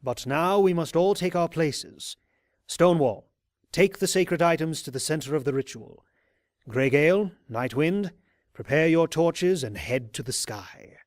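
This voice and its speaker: A man playing a coldly polite, sinister pony cult leader in an audio drama, speaking in a measured, formal and menacing way. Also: fairly high pitch, expressive, no room sound, studio quality. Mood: commanding. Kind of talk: fan audio drama acting.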